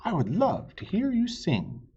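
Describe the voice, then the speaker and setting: A man putting on a sly flattering storybook fox. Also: high-pitched, measured pace, husky, dull audio, pitched above the performer's own voice, full character voice. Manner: coaxing, sugary flattery. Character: sly flattering male fox, smooth-talking trickster.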